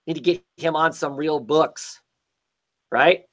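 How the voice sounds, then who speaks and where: A man hosting a casual livestream chat about comics, hoarse and scratchy as he gets over an illness, rambling with many restarts. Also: a little high, takes it slowly, a bit bright. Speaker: host.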